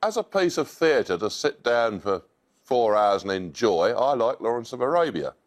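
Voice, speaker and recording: A man on a quiz team, answering in a measured, matter-of-fact way. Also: medium pitch, big pitch swings. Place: television studio.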